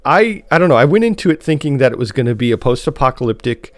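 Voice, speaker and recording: A man's voice: mid-range voice, big pitch swings, fast, no echo. A man co-hosting a podcast, chatting casually through a review in a hesitant, rambling, conversational way. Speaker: podcast co-host reviewing post-apocalyptic media.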